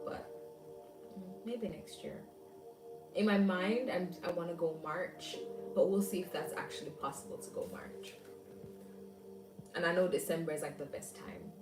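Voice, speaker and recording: A woman's voice: lowish voice, roomy sound, far from the mic, low-quality recording. A young Jamaican woman chatting casually on a livestream, reading and answering viewer comments aloud. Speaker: livestream host.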